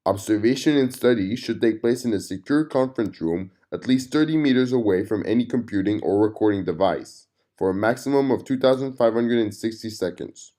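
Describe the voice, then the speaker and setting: A man's voice: fairly low pitch, a little fast, mellow. A man giving a scripted narration of a formal document in a clinical manner.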